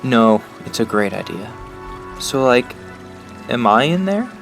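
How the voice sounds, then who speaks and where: A man's voice: medium pitch, very animated, unhurried, creaky. An actor playing a sincere, plain-spoken teenage boy who leads a group of survivors in a horror audio drama. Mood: gentle, teasing. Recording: music under the voice. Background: music.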